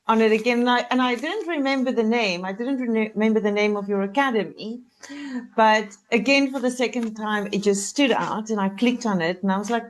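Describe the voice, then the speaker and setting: A South African woman whose first language is Afrikaans, chatting as a coach guest on a video-call interview and laughing often. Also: medium pitch. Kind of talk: casual interview chat.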